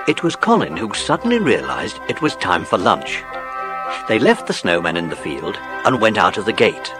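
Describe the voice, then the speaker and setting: A man narrating a children's story from a script, as in an audiobook drama. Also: lowish voice.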